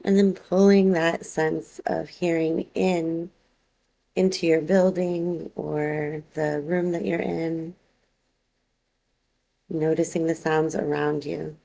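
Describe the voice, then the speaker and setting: A woman teaching yoga nidra, guiding a relaxation meditation in a calm, unhurried, gently instructive way. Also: deep voice, gravelly, rough recording.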